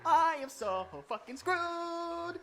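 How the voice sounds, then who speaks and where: A woman's voice: extremely high pitch, lively intonation, takes it slowly, very noisy, heavily acted. A woman voicing a cocky, foolish young male anime hero in a comedic parody dub, sarcastic and smug. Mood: cocky, dramatic.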